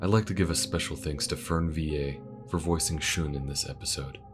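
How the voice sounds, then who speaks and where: A man reading the outro of his own audio drama, thanking supporters in a soft, polite voice. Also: rumbling low, a little fast, over music. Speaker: voice actor and channel host.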